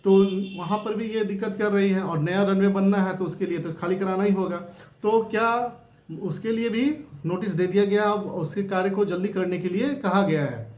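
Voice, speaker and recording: High-pitched man commenting in an echoey room. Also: moderate pace, darkish tone. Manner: explanatory, repetitive, checks in with rhetorical tags. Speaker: host of a news discussion channel. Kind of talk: informal news commentary, unscripted. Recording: roomy, echoing room sound.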